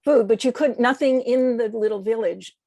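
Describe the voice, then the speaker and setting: American woman with a creaky, higher voice. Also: mellow, no room sound. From United States. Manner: halting, searching for words, self-correcting. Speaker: podcast guest. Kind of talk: conversational panel discussion.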